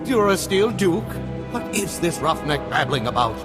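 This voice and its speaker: Haughty aristocrat, pompous drawl, very animated pitch, disdainful, game cutscene, music behind. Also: male voice, moderate pace. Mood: scornful. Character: haughty, snobbish male aristocrat, easily scandalised. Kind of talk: video game cutscene acting.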